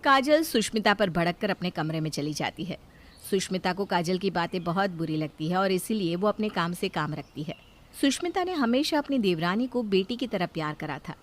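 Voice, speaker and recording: A woman storyteller reading scripted narration for a moral story. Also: mid-range voice.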